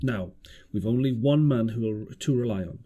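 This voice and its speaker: A man's voice: mid-range voice, normal speed, warm tone, lightly acted. A man voicing a grave, resolute sea captain in a storybook, firm and plain-spoken. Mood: grave, blunt. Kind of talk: storybook character reading.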